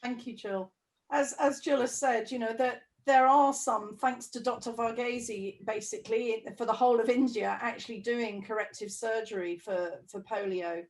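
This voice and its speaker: A woman's voice: fairly high pitch, steady tone, moderate pace, low-quality recording. A woman hosting a webinar, moderating the discussion and introducing a panel speaker. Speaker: webinar host.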